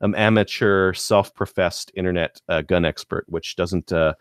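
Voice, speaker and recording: Guy with a lowish voice on a hobby podcast, explaining stuff kinda stop and start, dry room. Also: fairly even intonation, normal speed, clean tone, dry recording. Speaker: podcast co-host. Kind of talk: casual gun-hobby chat.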